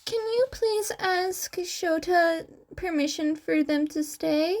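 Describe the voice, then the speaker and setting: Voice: extremely high pitch, takes it slowly, voice pushed up, exaggerated voice. Vrouw speelt smekend jongetje met hoge stem. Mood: pleading. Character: pleading, polite young boy.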